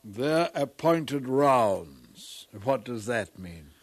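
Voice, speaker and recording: A skeptical scholar in a 1950s radio play. His mid-pitched voice is dryly doubting, recorded with no room echo. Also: very animated, very slow, full character voice. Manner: doubting, dry. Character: skeptical male scholar questioning a colleague. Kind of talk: 1950s radio drama acting.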